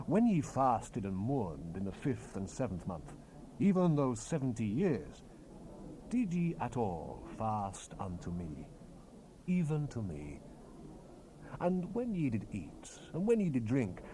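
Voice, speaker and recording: A man's voice: mid-range voice, dark tone. Man voicing a solemn, wide-ranging prophet on hissy tape. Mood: stern questioning. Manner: grave, declamatory, emphatic phrasing. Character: solemn, commanding male Hebrew prophet relaying God's word. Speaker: scripture narrator. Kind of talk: dramatized Bible reading. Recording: hissy unprocessed cassette tape rip.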